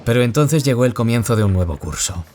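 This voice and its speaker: A man acting a gentle former bullying victim turned author in an audio drama, confiding in a measured, reflective way. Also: mid-range voice, expressive, background noise, close to an everyday voice. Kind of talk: audio drama acting as a radio interview guest.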